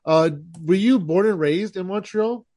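An American man hosting a casual interview, easygoing and quick to agree. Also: sits high, dark tone, no room sound, clean studio sound. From United States. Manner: easygoing, says "hell yeah", laughs along. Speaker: host.

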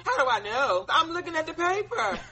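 A woman's voice: sits high, big pitch swings, fairly quick, poor audio. No-nonsense female clinic caller played by a woman, impatient and swooping in tone, comic phone sketch with background music. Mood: sassy, impatient. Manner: blunt, impatient, sassy. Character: sassy, no-nonsense female health department caller. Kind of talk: comedy phone-call dialogue.

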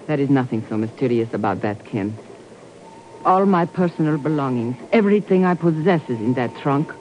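An actress playing a guarded, world-weary German refugee in an old radio drama, speaking in measured, formal phrases. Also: female voice, extremely low pitch, expressive. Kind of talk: 1950s radio drama acting. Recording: noisy, dull old radio recording.

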